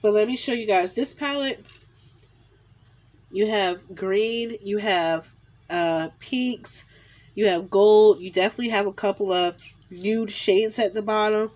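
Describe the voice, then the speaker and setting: A woman in her thirties talks to her phone camera in a small room at home, her voice nasal and stuffy from a cold. She chats loosely and warmly, circling back with "um" and "definitely" as she reviews makeup. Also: medium pitch, a little slow. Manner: chatty, lots of fillers and repeated "definitely". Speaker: beauty vlogger. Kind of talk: casual makeup haul review. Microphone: phone camera at arm's length.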